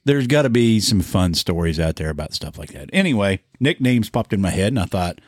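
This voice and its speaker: Low-voiced man, crude rambling podcast host. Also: big pitch swings, a little fast, darkish tone, no echo, studio quality. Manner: rambling, laughs at own jokes. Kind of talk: crude casual banter.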